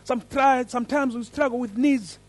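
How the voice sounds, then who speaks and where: A man with an African accent leading a church service, praying and then telling a story in an earnest, lively way. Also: extremely high pitch, moderate pace. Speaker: preacher. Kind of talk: sermon with prayer and story.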